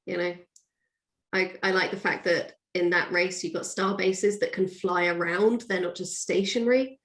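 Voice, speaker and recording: A woman's voice: fairly low pitch, fairly even intonation, normal speed, a bit bright. Woman chatting in an echoey room. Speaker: guest author. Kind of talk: casual interview chat. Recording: echoey, roomy sound.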